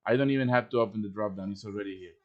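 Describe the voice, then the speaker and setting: Man casually hosting a live software tutorial. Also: fairly low pitch, very fast, lo-fi sound. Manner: informal, thinking aloud while working. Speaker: tutorial host. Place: live stream over voice chat.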